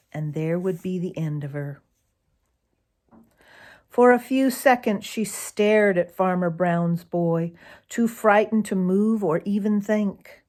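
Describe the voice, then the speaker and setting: A woman narrating a children's story in a deliberate, dramatic way, as if to young listeners. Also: fairly low pitch, darkish tone. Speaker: storybook reader.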